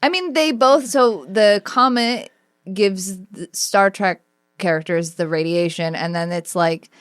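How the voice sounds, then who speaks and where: A woman's voice: medium pitch, unhurried, clear, resonant tone, dry recording, studio quality. A woman co-hosting a podcast in casual chat, laughing often and acting out quotes from the shows. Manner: animated, laughing, acts out quotes. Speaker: podcast co-host.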